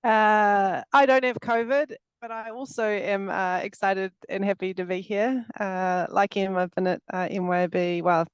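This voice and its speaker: A woman's voice: medium pitch, fairly even intonation, unhurried, hardly any pauses, smooth, dry recording, highs cut off. A middle-aged woman with a New Zealand accent, introducing herself as a competition judge in a chatty, friendly way on a video call. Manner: friendly, chatty, with frequent fillers. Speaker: competition judge, business executive.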